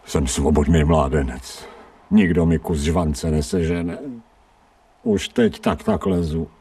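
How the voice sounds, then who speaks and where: An actor playing a scrawny, streetwise stray dog in a radio drama, grumbling in colloquial speech with sardonic self-pity. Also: male voice, rumbling low, big pitch swings, creaky, warm tone, distant mic, a little hiss or hum, exaggerated voice. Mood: suffering, bitter. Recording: 1990 radio drama, off-mic.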